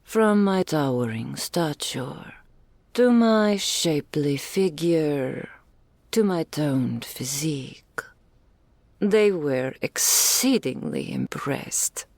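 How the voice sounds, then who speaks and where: A woman's voice: low voice, expressive, takes it slowly, vocal fry, a little mellow, no room sound, full character voice. A woman voicing a doting, regal female dragon in human form, speaking intimately to the listener in ornate, archaic phrases as an ASMR roleplay. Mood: proud, amused.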